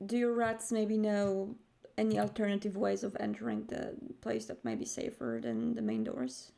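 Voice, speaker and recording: A woman voicing a cautious, practical vampire in a tabletop roleplay, speaking politely and haltingly. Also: medium pitch, gravelly, dark tone. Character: cautious, practical female vampire coterie member.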